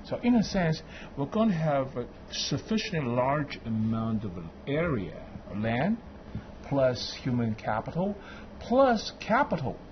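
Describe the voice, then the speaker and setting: A man's voice: mid-range voice, unhurried, lo-fi sound, slight background noise. A man from Beijing, a guest on a studio panel, making his points with emphatic, listing delivery. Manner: animated, emphatic, lists points.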